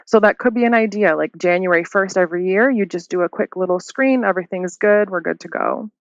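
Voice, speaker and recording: A woman's voice: mid-range voice, fairly quick, no echo. A woman, a hearing clinician on a podcast, chatting in an upbeat way and laughing often. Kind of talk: casual podcast interview. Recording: dull lo-fi dry sound.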